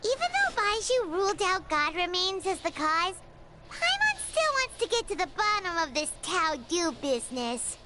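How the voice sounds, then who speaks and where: A woman voicing a tiny, chirpy and bossy floating fairy companion in an anime game, squeaky and childlike. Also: very animated, normal speed, very noisy. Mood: determined. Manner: exclamatory, refers to herself by name. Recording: loud game music behind the voice.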